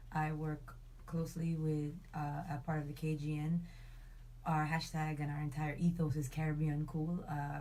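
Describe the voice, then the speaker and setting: A woman from Jamaica with a Jamaican accent, a guest talking casually about her party events, relaxed and quick to laugh. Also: sits low. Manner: relaxed, laughs often, says yeah a lot. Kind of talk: casual conversation.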